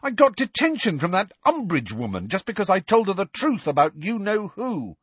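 A man's voice: high voice, big pitch swings, normal speed, breathy edge, no echo, pitched above the performer's own voice, full character voice. A man voicing a weary, glum teenage boy wizard who talks with dry sarcasm.

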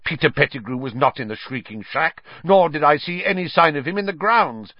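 A man's voice: mid-range voice, expressive, normal speed, slightly rough, no echo, dull audio. A man voicing a spiteful, sneering schoolmaster in an audiobook, speaking with bitter menace. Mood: snarling contempt. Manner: spitting, snarling, hissing menace.

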